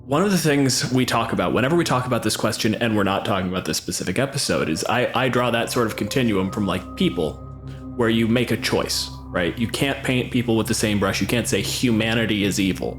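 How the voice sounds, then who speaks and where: A man's voice: on the low side, a little fast, over music. A man hosting a podcast, chatting casually and with opinions, swearing freely as he makes his points. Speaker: podcast host.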